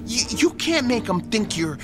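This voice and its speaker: A jittery, worried sidekick who pleads and stammers in a fairly high voice. His pitch swings widely as he frets. Dramatic music plays underneath this radio play. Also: sing-song, normal speed. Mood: anxious. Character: anxious, pleading male sidekick.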